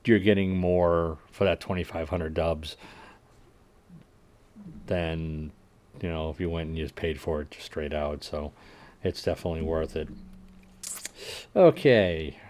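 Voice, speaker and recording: A man hosting a livestream, casually reading game update notes aloud and adding wry asides that trail off quietly. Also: extremely low pitch, measured pace, long pauses. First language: English. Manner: frequent fillers and restarts while working out numbers. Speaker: livestream host.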